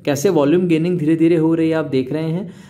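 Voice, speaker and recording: A man's voice: on the high side, fairly even intonation, fast talker, crowd noise. A man with an Indian accent presenting for a hair clinic in an explanatory promotional style, mixing in English words. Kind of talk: promotional demonstration narration.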